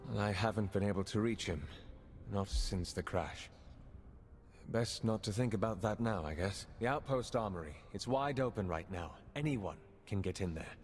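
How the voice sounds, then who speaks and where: An actor playing an earnest, plain-spoken undercover agent in a video game. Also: male voice, on the low side, moderate pace, close to an everyday voice. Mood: concerned. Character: earnest male undercover intelligence agent in space war. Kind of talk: dramatic video game dialogue. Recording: long reverb, background hum and ambience.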